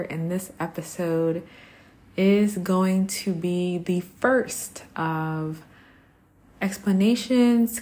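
A woman hosting a podcast, talking in a conversational, personal way, reflective and pausing between short phrases. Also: medium pitch, darkish tone. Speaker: podcast host.

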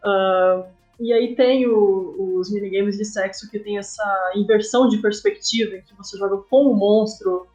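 A woman's voice: medium pitch, steady tone, normal speed, a little mellow, faint music behind, rough recording. A Brazilian woman speaking as a guest in a casual podcast interview, explaining her work and often checking in with short tag questions. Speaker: guest, comic artist and game writer.

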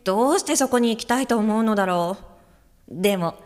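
A woman's voice: medium pitch, fairly quick, long reverb tail, natural voice. An actress playing a polite, well-meaning but easily flustered female guide in an audio drama. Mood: reflective. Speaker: actor and first-person narrator. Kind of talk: Japanese audio drama dialogue and narration.

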